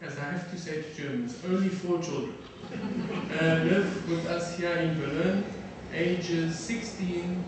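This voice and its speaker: A middle-aged man from South Africa giving a lecture to an audience, halting often with fillers and restarts. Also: on the high side. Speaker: defense attaché giving a lecture. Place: lecture hall. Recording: very echoey hall, from far off, faint noise.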